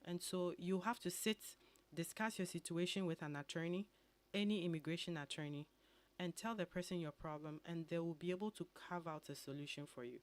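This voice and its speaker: Ghanaian woman, measured legal explainer. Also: on the low side. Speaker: immigration attorney guest. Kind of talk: radio interview answers. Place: radio studio.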